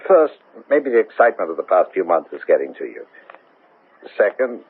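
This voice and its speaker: An actor playing a modest, sensible country doctor in a 1970s radio drama, with a husky, gravelly voice and a measured, probing manner. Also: male voice, low-pitched, very animated, full character voice. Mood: gently probing. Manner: measured, probing, plain-spoken. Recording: thin phone-like old radio broadcast.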